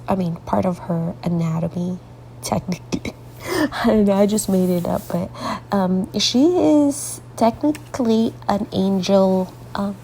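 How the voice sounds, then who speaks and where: Woman, congested and sleepy, deep vocal fry, mellow tone; soft rambling tutorial host who slips into near-whispers. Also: slight background noise, low-quality recording. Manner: soft, rambling, drifts into half-whispered asides. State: congested, stuffy nose; sleepy late at night. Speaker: artist hosting a coloring tutorial. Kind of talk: casual coloring tutorial narration.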